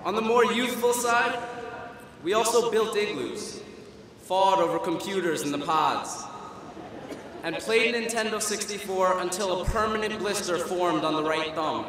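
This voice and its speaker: A young man speaks at a podium microphone in a large auditorium, his bright, somewhat high voice carrying a wry, self-deprecating humor. His words ring out with a heavy, long reverb through a PA system. A faint hum of the hall sits beneath him. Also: a little slow. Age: young adult. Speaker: student commencement speaker. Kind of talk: humorous graduation speech. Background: audience laughter and hall ambience.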